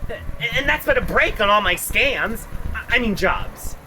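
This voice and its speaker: A woman's voice: on the high side, animated, measured pace, pushed and bright, a little hiss or hum, low-quality recording. A woman voicing a self-centered, whiny slacker landlord in a puppet cartoon, scheming, hesitant and sarcastic. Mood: exasperated. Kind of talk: animated puppet show dialogue.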